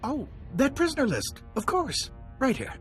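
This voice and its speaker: A man's voice: very high voice, wildly swooping pitch, normal speed, soft background music, full character voice. A man voicing a nervous, bitter, resigned prison guard in a game cutscene. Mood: frightened.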